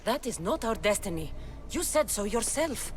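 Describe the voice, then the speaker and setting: A woman's voice: medium pitch, very animated, moderate pace, soft background music, close to an everyday voice. An actress playing a determined, principled female warrior hero in a video game cutscene, firm and earnest.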